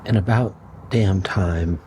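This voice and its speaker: A middle-aged man hosting his own channel, musing in a relaxed, unhurried way with pauses. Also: sits low, slight background noise. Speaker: channel host. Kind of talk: relaxed solo vlog talk.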